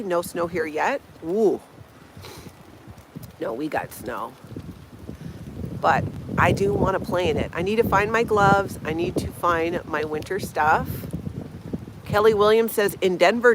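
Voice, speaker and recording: A woman's voice: on the low side, slight background noise, poor audio. A woman in her fifties from Hawaii, hosting a casual outdoor live stream, chatting and laughing as she reads viewer comments. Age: 50s. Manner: chatty, laughs often, greets viewers by name. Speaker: channel host. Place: snowy backyard outdoors in Minnesota.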